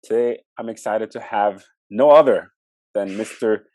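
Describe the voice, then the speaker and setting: A man's voice: fairly low pitch, measured pace, a little mellow, no echo. Norwegian man hosting, dry close sound. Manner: friendly, with hesitant fillers. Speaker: host. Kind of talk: casual video interview.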